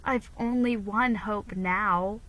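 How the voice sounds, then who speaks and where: A woman's voice: mid-range voice, slow pace, fairly bright tone, low-quality recording. A woman voicing an earnest, protective young girl, a hopeful big sister in a storybook. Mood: wistful.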